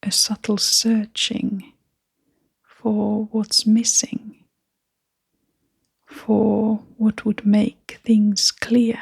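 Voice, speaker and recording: A woman leading a guided meditation in a gentle, breathy, whispery and creaky voice, speaking in short phrases with long, unhurried pauses. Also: mid-range voice, completely flat delivery, no echo.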